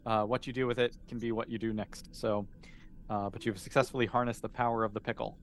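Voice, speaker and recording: Guy game mastering, kinda halting, making up the story as he goes, bit of background noise. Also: lowish voice, level tone. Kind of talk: improvised game narration.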